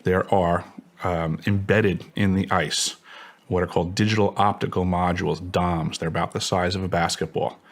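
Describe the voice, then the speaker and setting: A man with a distinctly deep voice answers as an interview guest. He states things emphatically, in formal technical language, as if giving testimony. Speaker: interview guest, former contractor.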